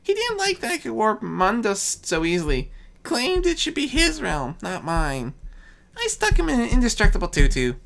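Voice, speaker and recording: A woman's voice: a little high, huge pitch swings, normal speed, gravelly, fairly bright tone, voice pushed up, exaggerated voice. A woman voicing a mischievous, boastful young male chaos spirit in a story, grumbling and bragging offhandedly. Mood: offhand boasting. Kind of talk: fan fiction story reading.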